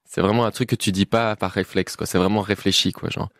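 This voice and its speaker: A man in his twenties from Belgium, a musician guest chatting casually in an interview, relaxed with frequent laughs and fillers. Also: on the low side, a little fast, no echo, studio quality. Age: 20s.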